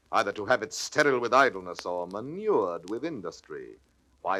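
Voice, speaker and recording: An actor playing a cynical, scheming young soldier villain in a classical audio drama, mocking and persuasive in a declamatory stage manner. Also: male voice, medium pitch, bright, forceful tone, poor audio. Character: scheming cynical soldier, male villain, aged twenty-eight. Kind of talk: classical radio-play stage acting.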